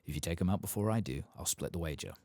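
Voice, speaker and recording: A man's voice: sits low, fast, creaky, warm tone, no room sound. A man voicing a chummy, informal game quest-giver who likes a wager, with a casual, folksy manner. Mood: chummy, scheming.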